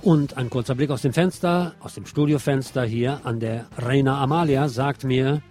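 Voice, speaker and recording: A man's voice: medium pitch, normal speed. A man presenting scripted radio news with pointed commentary, recorded in a studio with music behind him.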